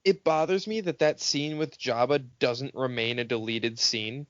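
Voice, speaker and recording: A man's voice: medium pitch, steady tone, moderate pace, fairly bright tone, no echo, highs cut off. A man co-hosting a podcast in casual film banter, sarcastic and profane, mockingly acting out lines. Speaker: podcast co-host.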